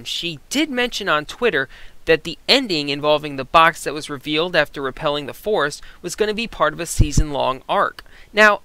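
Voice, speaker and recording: Bright, higher-pitched male reviewer. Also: smooth, no room sound. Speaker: co-host reviewer. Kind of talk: conversational scripted review commentary.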